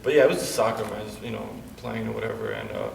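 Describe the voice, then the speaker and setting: A man's voice: fairly low pitch, fast talker, creaky, slight background noise. A man in his late twenties from Bosnia, a wrestler talking casually as a guest, with a laid-back manner and constant "you know what I'm saying" fillers. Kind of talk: casual interview chat. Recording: roomy echo from a distance.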